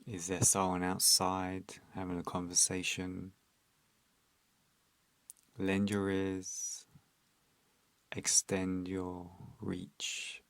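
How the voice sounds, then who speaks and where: Male meditation guide: calm and soothing, extremely monotone delivery, very dry close recording. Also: sits low, no echo. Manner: calm, soothing, instructional, with long pauses.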